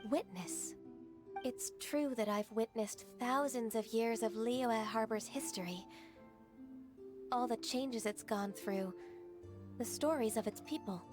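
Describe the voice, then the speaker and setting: A gentle, ageless secretary of half-divine blood, played by a woman. Her voice is soft, polite and composed, sitting a touch high. Game music plays steadily behind her. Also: lightly acted. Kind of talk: game cutscene dialogue. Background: game background music.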